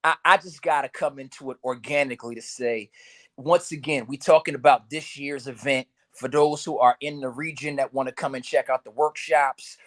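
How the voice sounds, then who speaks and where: A man in casual podcast talk over a video call, laid-back and rambling, with frequent ums and slow, drawn-out stretches. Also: medium pitch, steady tone.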